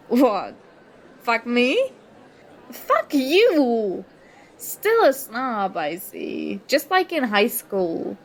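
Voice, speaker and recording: A woman's voice: on the high side, full character voice. A woman acting an indignant, sarcastic young student confronting a former school enemy in an audio roleplay. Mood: angry, incredulous, then bitter, sarcastic. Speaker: ASMR roleplay performer. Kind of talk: ASMR roleplay acting, one side of a dialogue.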